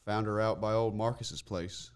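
A man's voice: fairly low pitch, steady tone, moderate pace, smooth, lightly acted. A man playing a folksy, commanding Wild West survivor in an audio drama. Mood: calm, steady. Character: gruff, urgent Wild West rescuer, male survivor. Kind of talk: amateur audio drama acting.

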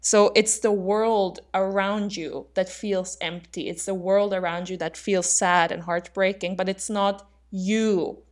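A young woman with an Austrian accent talks through ideas on a podcast she co-hosts. She explains steadily, thinking aloud with small fillers. Her voice sits at an ordinary mid pitch. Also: steady tone, unhurried, few pauses. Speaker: podcast co-host. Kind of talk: casual conversational explanation.

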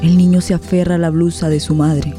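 A woman giving sombre scripted narration for a radio play with measured, deliberate phrasing. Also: lowish voice, flat delivery, over music.

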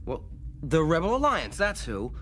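An actor playing an earnest, impulsive young hero in a radio drama, eager and urgent. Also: male voice, fairly high pitch, wildly swooping pitch, normal speed, a bit bright, slight background noise. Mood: sly persuasion. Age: young adult. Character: earnest, impulsive idealistic farm boy hero.

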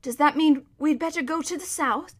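A woman's voice: high voice, moderate pace, a bit bright, pitched above the performer's own voice. A woman reading a storybook as a naive young boy, earnest and questioning. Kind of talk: children's storybook character reading.

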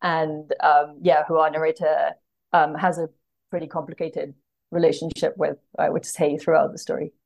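A woman's voice: deep voice, flat delivery, moderate pace, vocal fry, darkish tone, poor audio. A woman talking through a book in a casual discussion, as a podcast guest, in a thoughtful, hesitant way that drifts into asides. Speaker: podcast guest, literary translator.

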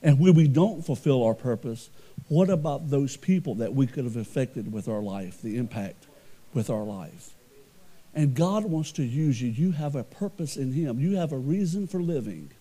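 Loud, earnest preaching man. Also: mid-range voice, animated, normal speed. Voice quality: loud voice that carries. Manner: earnest, conversational preaching with repeated restarts. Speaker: pastor. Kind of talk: sermon.

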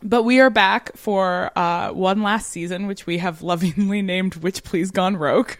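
A woman's voice: mid-range voice, steady tone, measured pace, no echo. A woman co-hosting a podcast in casual chat, laughing often and talking with lots of fillers. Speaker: podcast co-host.

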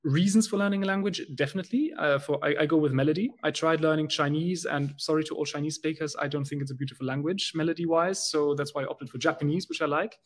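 A man from Belgium, speaking as a guest in a casual conversation, often pausing with fillers and restarting his sentences. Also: a little high. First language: German. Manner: hesitant, many fillers and restarts.

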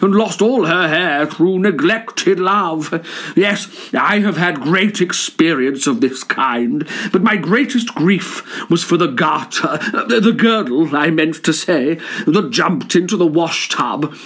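A man's voice: a little high, slow pace, slightly rough, exaggerated voice. A man doing a pompous, boastful shirt collar. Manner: pompous, flattering. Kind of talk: storybook reading.

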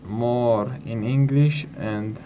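Man guest, slow and halting, mid-pitched. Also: mellow, dull audio, a little hiss or hum. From Slovakia. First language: Hungarian. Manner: halting, searching for words. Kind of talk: casual interview answers.

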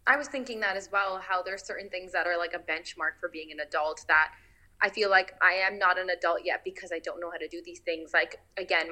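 A woman in her early twenties speaks earnestly in a casual conversation, thinking things through aloud. Her voice is lowish but crisp and bright, with many "like"s scattered through. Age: 20s. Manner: earnest, thoughtful, uses "like" a lot. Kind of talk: casual reflective chat.

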